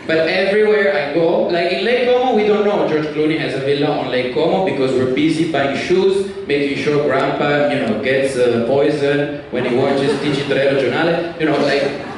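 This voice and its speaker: A young Italian man with a clear Italian accent works a comedy club crowd in a loose, teasing way, often jumping up into high, mocking voices. His voice sits a bit high for a man. It carries through a very echoey room, miked from a distance, with a noisy hall behind him. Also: moderate pace. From Italy. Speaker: stand-up comedian. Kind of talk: stand-up comedy set.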